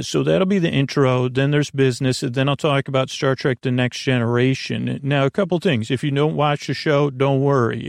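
A man with a gravelly, fry-laden voice at an average male pitch delivers a meandering bedtime monologue. He stumbles, repeats himself and doubles back as a sleep podcast host. The sound is close and dry. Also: dry recording. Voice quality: creaky. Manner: meandering, halting, stammering with repeated false starts.

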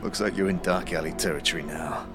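A man's voice: low voice, fairly even intonation, fast, over music, voice pushed down, lightly acted. A man voicing a brutish, swaggering alley thug in a fantasy audio drama, sardonic and menacing, speaking in street slang.

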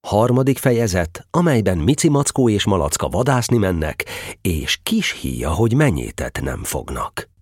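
A male storyteller with a rather deep, husky voice. His narration is very animated, with wide melodic swings, suited to a storybook audio drama. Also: dry recording, studio quality.

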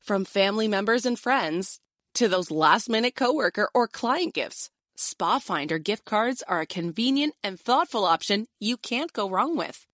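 A woman's voice: medium pitch, lively intonation, moderate pace, fairly bright tone, dry recording, studio quality. An American woman giving a scripted advertisement read as an announcer.

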